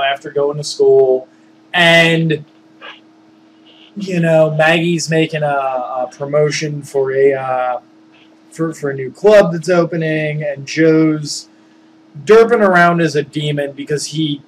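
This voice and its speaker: A man telling a story casually, rambling and halting as he searches for words and digresses. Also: a little high, slight background noise, rough recording. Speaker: storyteller, former tabletop game master.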